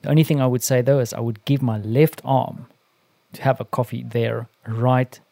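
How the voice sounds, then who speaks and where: A man hosting a podcast, narrating in a reflective, deliberate way with dramatic pauses. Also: mid-range voice, steady tone, creaky, mellow, no room sound. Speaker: podcast host. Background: music with strings under the voice.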